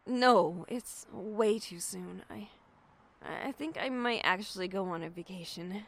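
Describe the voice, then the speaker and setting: A woman playing a shaken but defiant young survivor in an audio drama, halting and emotionally raw. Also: medium pitch, creaky. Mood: weary, gentle.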